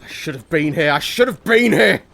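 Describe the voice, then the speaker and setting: A man's voice: fairly high pitch, expressive, moderate pace, gravelly, a bit bright, heavily acted. A man playing a devoted, anxious young husband who also narrates earnestly in an audio drama. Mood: distraught. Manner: earnest, protective. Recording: audible background noise.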